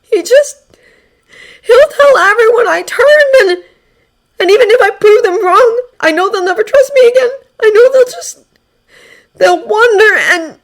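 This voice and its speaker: A woman voicing a betrayed teenage boy who is crying, with a hoarse, rasping voice. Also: extremely high pitch, normal speed, few pauses, a bit bright, pitched above the performer's own voice. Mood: sobbing, bitter.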